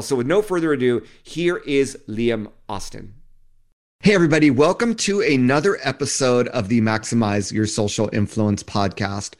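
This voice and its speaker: A man hosting a podcast, talking in an enthusiastic, chatty way to his listeners and guest. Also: mid-range voice. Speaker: podcast host. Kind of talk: podcast intro and interview.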